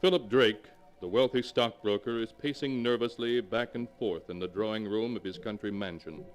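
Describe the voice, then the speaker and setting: A man narrating a 1940s radio mystery drama, slow, ominous and theatrical. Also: fairly low pitch, in a large hall, faint music behind. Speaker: narrator, keeper of the book.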